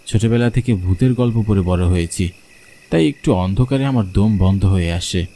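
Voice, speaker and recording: A man's voice: low-pitched, normal speed, mellow. A man narrating a scripted horror story in the first person, as a storyteller.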